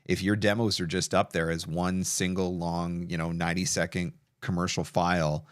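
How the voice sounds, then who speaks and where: Low-voiced man hosting a webinar. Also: flat delivery, normal speed, no echo, clean studio sound. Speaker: webinar host. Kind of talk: casual interview and product pitch.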